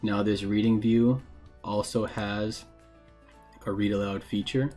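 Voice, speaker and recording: A man narrating a casual software tutorial in a plain, informal, explanatory way. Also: lowish voice, fairly even intonation, a little slow, soft background music. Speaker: instructor giving a software tutorial.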